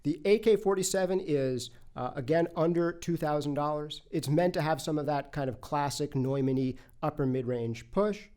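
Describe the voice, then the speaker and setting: A man's voice: fairly high pitch, moderate pace, few pauses, warm tone. A man presenting in a conversational, explanatory way, speaking closely into studio microphones as if reviewing products. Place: recording studio.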